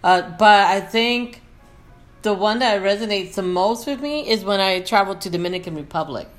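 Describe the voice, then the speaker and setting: A woman's voice: medium pitch, moderate pace, faint music behind. Reflective woman sharing travel memories. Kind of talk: casual chat.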